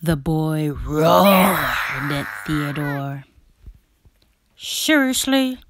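A woman narrates a children's picture book at a very unhurried, deliberate pace. Her lowish voice swings expressively and has a creaky edge, with dramatic pauses between phrases. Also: big pitch swings, background noise. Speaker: read-aloud storyteller.

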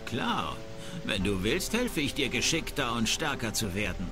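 A man's voice: mid-range voice, sing-song, measured pace. An actor playing a cagey, streetwise townsman and trainer in a video game, casual and guarded. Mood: obliging. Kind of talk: video game character dialogue. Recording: music under the voice.

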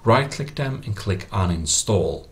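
A man with a low, deep voice presents a tech tutorial as a voice-over. He explains things in a casual, practical manner. His English has non-native phrasing. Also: expressive, unhurried. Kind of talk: casual explanatory screen-recording narration. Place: voice-over for a screen recording.

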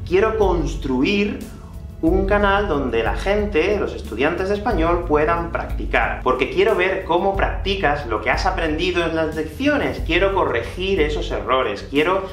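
A Spanish man in his thirties gives a lively online lesson, his voice swinging up and down as he gestures. He repeats key phrases slowly for learners. The small room rings with echo under faint background music. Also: on the high side. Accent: Spanish from Spain. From Spain. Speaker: Spanish teacher. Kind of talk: YouTube language lesson to camera. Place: small room with whiteboard and shelves, indoors.

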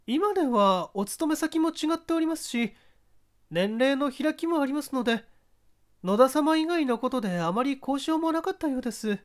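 Reserved married lady for audiobook mystery, voiced by a man: very high pitch, genteel, formal. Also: animated, normal speed. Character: polite, reserved married woman, a victim's wife.

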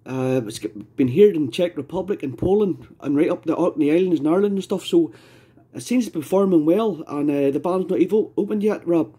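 A man's voice: fairly high pitch, fairly quick, hardly any pauses, dark tone, slightly rough. A Scottish man chatting casually as an amateur radio operator, rambling and repeating his phrases. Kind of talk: casual ham radio chat.

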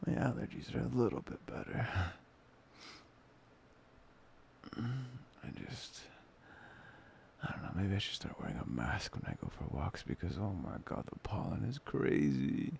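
A young man playing a soft, playful, devoted boyfriend in an intimate ASMR roleplay, breathy, hesitant and often near a whisper. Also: on the low side, sing-song, normal speed, a little hiss or hum. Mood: playful, sheepish.

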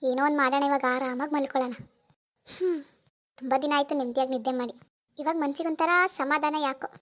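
A woman's voice: high voice, fairly even intonation, brisk, close to an everyday voice. A woman playing a scheming, lazy young wife in a domestic drama, sly and theatrical in her delivery. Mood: smug. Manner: sly muttering alone, whiny playacting to others. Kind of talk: amateur domestic drama acting.

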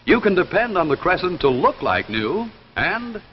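Lively young 1950s TV pitchman, slightly high. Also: normal speed, in a large hall, a little hiss or hum. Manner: polished, salesmanlike delivery. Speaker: announcer and commercial pitchman. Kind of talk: scripted 1950s TV announcing and advertising pitch. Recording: dull 1950s television soundtrack with music.

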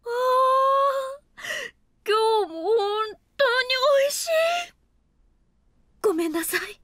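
Apologetic worn-out office girl, high bouncy voice, dry studio sound. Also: lively intonation, extremely slow, fairly bright tone, dry recording, full character voice. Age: young adult. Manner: apologetic, flustered, narrates inner thoughts. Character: tired apologetic young office woman. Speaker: office worker narrator. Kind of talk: studio audio drama.

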